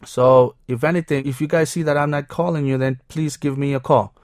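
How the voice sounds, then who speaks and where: A man's voice: fairly high pitch, steady tone, fairly quick, darkish tone, no echo, studio quality, lightly acted. A man playing an upbeat teen schoolboy. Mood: friendly. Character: friendly teen schoolboy, seventeen.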